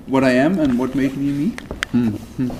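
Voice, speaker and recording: A man, a jazz bassist answering interview questions thoughtfully, with lots of hesitations and restarts. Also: steady tone, moderate pace, noisy background. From Denmark. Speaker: jazz double bass player, interviewee. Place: concert venue. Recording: music playing underneath. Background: music playing.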